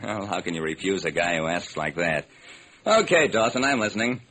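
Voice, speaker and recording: An actor playing a wry, sharp-witted detective who narrates and acts in an old-time radio drama. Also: male voice, deep voice, expressive, brisk. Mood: inquisitive. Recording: noisy vintage radio recording.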